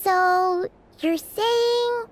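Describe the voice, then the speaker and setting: A woman's voice: very high-pitched, extremely slow, faint music behind, full character voice. A woman voicing a small, cheerful, childlike girl in a video game, perky and encouraging. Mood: curious.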